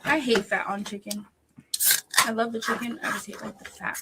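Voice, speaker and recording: A woman's voice: medium pitch, fairly even intonation, moderate pace. A woman hosting a livestream in casual chat, laughing often. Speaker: livestream host. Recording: noisy livestream audio.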